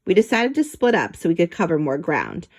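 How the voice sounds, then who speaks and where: Animated woman reading a children's story. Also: lowish voice, fairly quick. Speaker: storybook reader. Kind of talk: expressive read-aloud narration of a children's book.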